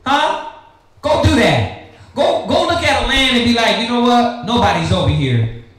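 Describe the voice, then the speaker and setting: A man's voice: extremely high pitch, big pitch swings, moderate pace, fairly bright tone. An American man preaching and teaching scripture in a confrontational street-talking style, firing rhetorical questions at his listeners. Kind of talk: fiery teaching sermon with call and response. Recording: very echoey room, faint noise.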